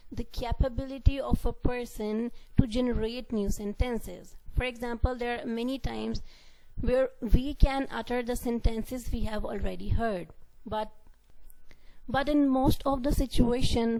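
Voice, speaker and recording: Mellow-voiced woman giving a grammar lecture. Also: fairly high pitch, poor audio. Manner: explanatory, repeats and rephrases points, reads out example sentences. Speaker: university lecturer. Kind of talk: classroom lecture.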